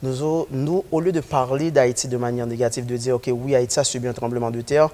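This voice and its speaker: A man's voice: mid-range voice, steady tone, a little fast, dark tone. A young man from Haiti, a guest in a studio interview, speaking earnestly with many hesitations. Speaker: guest, community organizer.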